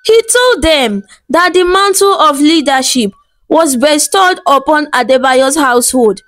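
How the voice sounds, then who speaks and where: High-pitched woman narrating a folktale slowly. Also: expressive, fairly bright tone, dry recording. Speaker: storyteller narrator. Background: background music.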